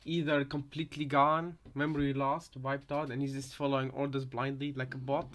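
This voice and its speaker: A man's voice: medium pitch, little pitch movement, moderate pace, a bit bright. A man giving casual reaction commentary, hesitant and repeating himself as he thinks aloud. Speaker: reactor, fan theorist.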